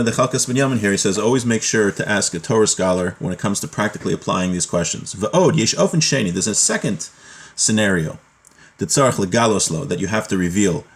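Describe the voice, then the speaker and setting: Low-voiced man teaching a religious text class. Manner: explanatory, moving between reading a text aloud and plain paraphrase. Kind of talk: informal text study lesson, reading Hebrew and explaining in English.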